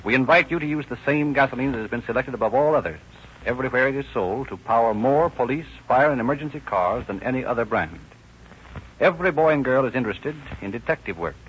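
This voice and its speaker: An American man announcing and narrating in formal, measured 1930s radio style. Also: medium pitch, lively intonation, a bit bright, breathy edge. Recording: muffled, noisy 1930s radio broadcast.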